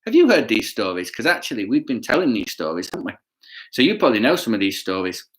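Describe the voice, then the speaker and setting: A man reading a children's story aloud with chatty asides, playful and expressive, often putting questions to his listeners. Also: on the low side, fast. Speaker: children's bedtime story reader.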